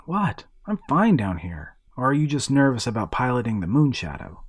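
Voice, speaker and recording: A man's voice: mid-range voice, sing-song, moderate pace, breathy edge, no room sound, clean studio sound, pitched above the performer's own voice. A man doing an earnest teenage boy. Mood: urgent. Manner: earnest, urgent, bossy with friends. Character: determined teenage boy adventurer. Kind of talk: audiobook character voice.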